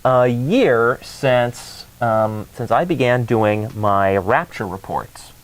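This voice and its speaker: Man, talk show co-host, average pitch, conversational commentary. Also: slow pace, poor audio. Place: TV studio.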